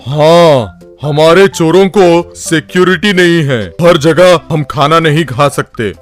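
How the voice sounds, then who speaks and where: A scheming gang boss of thieves blusters and exclaims in a cartoon comedy tale, played by a man. The male voice sits a little high and is emphatic, with faint music behind it. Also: slow. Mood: confident. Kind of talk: animated comedy story dialogue.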